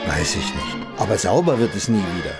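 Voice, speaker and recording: An actor playing an elderly husband in a German radio drama, calm, resigned and tender, speaking in short plain sentences. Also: male voice, low-pitched, fairly quick, vocal fry, exaggerated voice. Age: older. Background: music.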